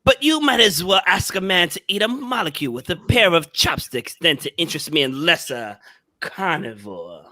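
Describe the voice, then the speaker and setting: A manic lunatic from a gothic horror play, as an amateur performer acts it. The delivery is theatrical and wheedling, sliding up and down with a sharp, bright edge. The sound is close and dry. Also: mid-range voice, sing-song, normal speed, dry recording. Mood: sly, manic. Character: deranged asylum patient craving living creatures, wheedling and manic.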